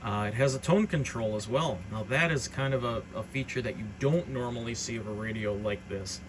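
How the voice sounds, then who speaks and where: A man's voice: mid-range voice, brisk. A man giving an informal, unscripted product walkthrough as a hobbyist presenter, explaining features in a hesitant way. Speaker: hobbyist presenter demonstrating radio gear. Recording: clearly noisy background.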